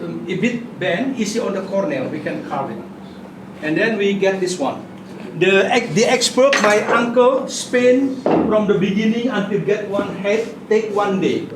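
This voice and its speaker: A man with an Indonesian accent guiding visitors through a craft demonstration, speaking halting English in short, simple phrases. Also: high-pitched, expressive. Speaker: puppet workshop guide and demonstrator. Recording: echoey room, distant mic, clear background noise.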